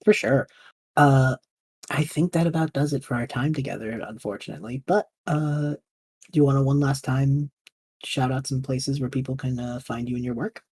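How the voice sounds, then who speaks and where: A man's voice: medium pitch, steady tone, normal speed, a little mellow, slightly rough. A man hosting a podcast, chatting casually in an encouraging, enthusiastic way. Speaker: podcast host. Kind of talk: casual interview, wrapping up the show.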